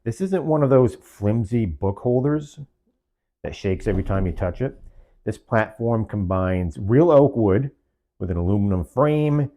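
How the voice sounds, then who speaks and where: A man with a low-pitched, dark and relaxed voice walks through a product demonstration. He sounds like an online reviewer, explanatory and orderly, listing features in short phrases. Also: unhurried.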